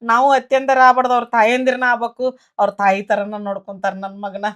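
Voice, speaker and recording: A woman playing a high-voiced, scolding North Karnataka mother-in-law. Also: steady tone, muffled recording, natural voice. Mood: remorseful. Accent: North Karnataka Kannada. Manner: scolding, sharp, and quick to blame. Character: jealous possessive mother-in-law, quick-tempered, later remorseful. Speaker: actor. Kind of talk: village family drama acting.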